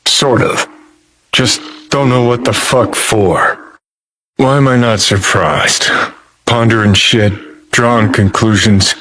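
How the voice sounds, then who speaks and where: A man's voice: lowish voice, a bit bright. An American man playing a cynical, world-weary rebel rock star in a video game, with a sardonic, clipped drawl and plenty of swearing. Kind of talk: video game character dialogue.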